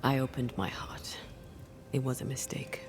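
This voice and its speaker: An actress playing a guarded, devoted female ghost hunter in a video game, with a hushed, deliberate, sorrowful delivery. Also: extremely low pitch, in a whisper. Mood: wistful, sorrowful. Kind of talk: dramatic video game cutscene acting. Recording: music under the voice.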